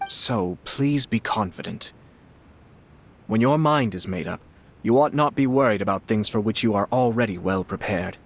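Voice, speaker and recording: An actor playing a composed, courteous young noble commissioner, speaking in a measured, polite and gently probing way. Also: male voice, on the low side. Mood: reassuring. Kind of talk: game dialogue voice acting.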